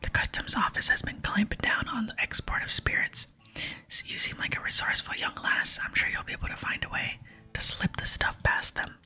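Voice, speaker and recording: A woman's voice: fairly quick, pushed and bright. A woman voicing a wheedling, rum-loving old male pirate from a game in a lilting whisper. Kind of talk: whispered reading of game dialogue. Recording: close whisper with music behind.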